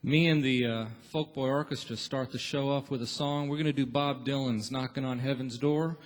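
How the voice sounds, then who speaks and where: In a big, reverberant theater, a man speaks from the stage as a show's host. His voice sits at a middling pitch, and he addresses the audience informally and encouragingly, in stop-and-start phrases, like an emcee warming up the house before a taping. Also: fairly even intonation, moderate pace. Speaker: radio show host and emcee. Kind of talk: warm-up announcements to a live theater audience. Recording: echoey theater hall with long reverb.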